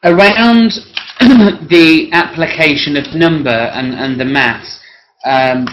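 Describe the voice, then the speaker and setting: A hoarse man who is losing his voice talks through an assessment plan one-to-one as a work-based assessor. He clears his throat often and speaks haltingly, with frequent tag questions. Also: on the high side, fairly bright tone, slight background noise. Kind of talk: one-to-one assessment planning talk. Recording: dull lo-fi recording.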